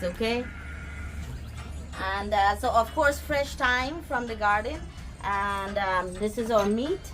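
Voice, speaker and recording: A woman from Guyana with a Guyanese accent, casually showing a cooking recipe outdoors and chatting with her viewers. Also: medium pitch. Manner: chatty, talks to viewers and pets. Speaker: cooking vlogger. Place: backyard outdoors.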